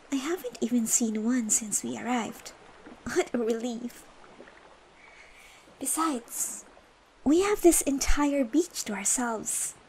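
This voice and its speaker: A woman voicing a gentle, cheerful young female dancer in a soft, soothing ASMR comfort roleplay, breathy and encouraging. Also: high voice, slight background noise, poor audio. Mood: relieved, excited. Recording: faint background music and ambience.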